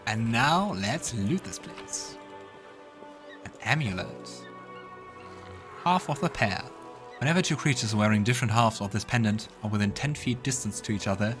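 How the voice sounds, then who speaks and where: A man hosting a let's play, mixing casual game commentary with narration read aloud. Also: mid-range voice. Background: game music.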